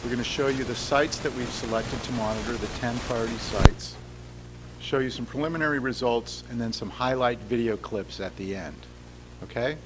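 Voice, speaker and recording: A man giving a public science lecture, laying things out clearly and asking the audience questions. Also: medium pitch, moderate pace, heavy background noise. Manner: clear, explanatory, addresses the audience directly. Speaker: guest lecturer. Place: lecture hall.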